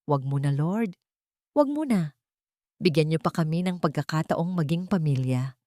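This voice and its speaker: A woman's voice: sits low, lively intonation, normal speed, mellow, no room sound, clean studio sound. A woman voicing a firm, loving, emotional woman in a dramatic audiobook. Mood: anxious, pleading. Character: firm, loving woman holding back tears. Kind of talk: dramatic audiobook character reading.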